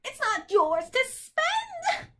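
A woman's voice: very high voice, wildly swooping pitch, extremely slow, fairly bright tone, pitched above the performer's own voice, full character voice. Woman putting on a shrieking, haughty old-mop bird. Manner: shrieking, cackling, interrupting. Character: unkempt malicious male bird, haughty wordplay-loving nuisance.

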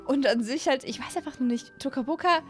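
Young woman, high voice, casual gaming commentary, excitable, close mic, faint music behind. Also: brisk. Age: young adult. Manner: animated, excitable, exclaiming. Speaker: gaming video host. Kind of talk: casual let's-play commentary. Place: small indoor streaming room. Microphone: close desk microphone on an arm.

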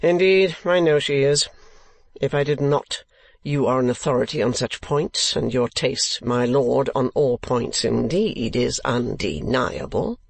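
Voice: mid-range voice, measured pace, a little mellow, no echo, lightly acted. A narrator playing a shrewd old man, a sneering moneylender in a storybook, dry and falsely deferential. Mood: sneering flattery.

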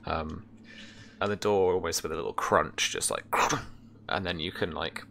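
A young man with a low, forceful voice runs a tabletop game. He talks casually and haltingly as he describes scenes and explains rules. There is faint noise behind him. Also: vocal fry. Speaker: game master. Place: indoor room at home, over video call.

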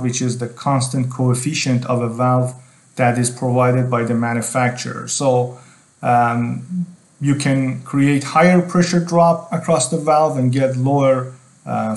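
Male technical instructor, middle-aged, halting explanatory lecture delivery, noticeably echoey room sound. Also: medium pitch. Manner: halting, repetitive phrasing. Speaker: tutorial instructor.

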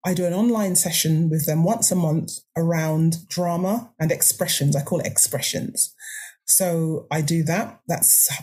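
A woman of grandmother age speaks as a podcast guest in a husky, mellow voice pitched on the low side. She talks earnestly, pressing her points and restarting her phrases. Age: older. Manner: earnest, emphatic, halting restarts. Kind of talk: conversational interview.

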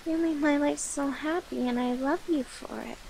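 A woman voicing a shy, devoted catgirl familiar in an ASMR roleplay, halting, flustered and cutely pleading. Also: sits high, creaky, darkish tone, a little hiss or hum, rough recording. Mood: shy, affectionate.

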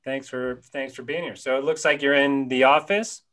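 A man in his forties hosts a casual interview from his home study, speaking over a webcam call. He is friendly and upbeat, and he throws in quick quips. His voice sits at a middling pitch. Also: fairly even intonation, a bit bright. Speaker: podcast host. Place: home study with bookshelves, indoors. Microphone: webcam on a video call.

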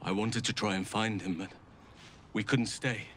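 An actor playing a solemn, gentle middle-aged elven archdruid in a fantasy game, speaking in a measured, earnest, formal way. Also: male voice, fairly low pitch, steady tone, a little hiss or hum, lightly acted. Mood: solemn, regretful. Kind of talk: scripted fantasy game dialogue.

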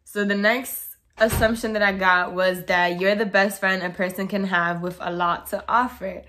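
A young woman in her twenties speaking straight to a camera in her bedroom. She talks casually and bluntly, gesturing with her hands, at a middle pitch. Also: moderate pace. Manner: blunt, outspoken, animated with hands. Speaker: vlogger. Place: bedroom, indoors.

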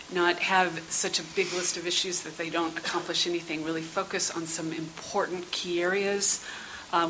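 A middle-aged woman giving prepared comments at a public council meeting in a measured, businesslike, formal but conversational way. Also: on the low side, bright, forceful tone, rough recording. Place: indoor meeting room. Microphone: gooseneck table microphone. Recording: hissy meeting-room sound.

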